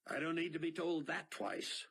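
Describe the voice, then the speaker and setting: A plainspoken middle-aged pilot talks bluntly in folksy phrases, voiced by a man. His voice sits above the reader's usual pitch with a husky edge. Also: fairly even intonation, moderate pace, fairly bright tone. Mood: eager.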